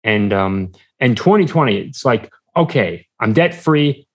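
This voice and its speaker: A man speaking as a guest in a casual interview, hesitant and halting as he searches for his words. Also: mid-range voice, expressive. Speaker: podcast guest, entrepreneur.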